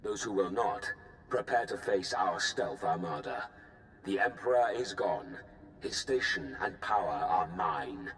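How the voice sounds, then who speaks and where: A very low, commanding Sith lord proclaims his rule in a video game. His speech is cold and declarative. A faint rumble sits under the recording. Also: animated, measured pace, a bit bright, exaggerated voice. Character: defiant male Sith lord declaring a new empire.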